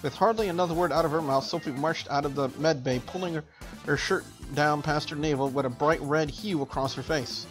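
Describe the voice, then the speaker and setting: A man's voice: fairly high pitch, fairly even intonation, a little fast, faint music behind, poor audio. A man hosting a gaming channel, reading a visual novel aloud with casual asides.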